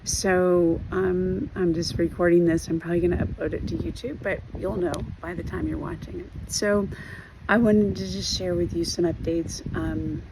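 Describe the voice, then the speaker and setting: Woman vlogger, voice hoarse and worn, halting and earnest, lowish pitch, audible background noise. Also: fairly even intonation. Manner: earnest, halting, with many fillers and restarts. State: hoarse from losing her voice. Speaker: trauma recovery vlogger. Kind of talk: personal video update.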